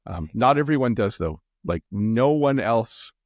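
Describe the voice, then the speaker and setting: A middle-aged Canadian man with a Canadian accent, chatting as an interview guest in a relaxed, rambling way with many ums and restarts. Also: mid-range voice, a little slow, no room sound, studio quality. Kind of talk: casual interview chat.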